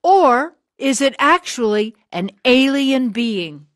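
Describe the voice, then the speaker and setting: A woman acting in a radio drama as a hard, driven woman of sixty who preaches in a deliberate, rhetorical way. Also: sits high, lively intonation, no room sound, studio quality. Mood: bitter, impassioned. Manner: deliberate, rhetorical, emphatic pauses. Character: hard, driven sixty-year-old woman, bitter anti-church preacher.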